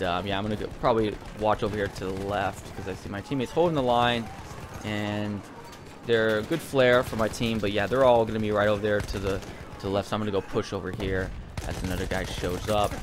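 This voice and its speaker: A man's voice: on the low side, steady tone, a little fast, noisy background. A man giving casual video game commentary in a rambling, hesitant way, often repeating himself.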